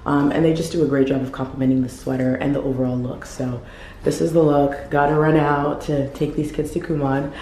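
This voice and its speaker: Woman in her 40s, low husky-from-overuse voice, chatty fashion vlogger, roomy echo. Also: flat delivery, brisk, dark tone, slight background noise. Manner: chatty, loose, many ums. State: losing her voice. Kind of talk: casual outfit vlog. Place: hallway of a home. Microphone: camera held in mirror selfie. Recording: echoey hallway, faint ambience.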